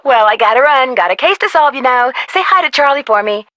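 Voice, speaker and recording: A breezy young woman for a mystery game. Her voice is bright and a bit high, friendly and casual, with a dry studio sound. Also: lively intonation, a little fast, no echo.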